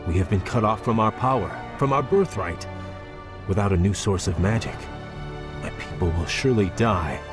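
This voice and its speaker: An actor playing a proud, troubled young elf prince in a fantasy game, speaking formally and earnestly. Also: male voice, on the low side, moderate pace, natural voice. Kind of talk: fantasy game cutscene dialogue. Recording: dramatic music behind.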